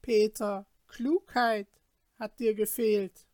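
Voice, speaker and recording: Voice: medium pitch, fairly even intonation, slow, a little mellow, no room sound, pitched above the performer's own voice, lightly acted. A reader voicing a tiny male forest spirit made of glass, stern and reproachful. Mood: scolding. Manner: reproachful, questioning.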